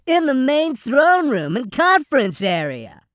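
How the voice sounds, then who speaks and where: Squeaky little crab-like alien servant. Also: male voice, huge pitch swings, a little slow, fairly bright tone, no echo, clean studio sound, full character voice. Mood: servile, formal. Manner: deferential, formal. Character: small servile crab-like alien creature, formal messenger.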